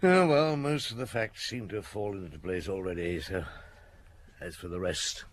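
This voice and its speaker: An actor playing a shrewd, authoritative chief inspector with a husky voice in a radio murder mystery. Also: male voice, low-pitched, normal speed, a little hiss or hum, lightly acted. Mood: brisk interrogation. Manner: probing, authoritative, wry. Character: shrewd, commanding male police chief inspector.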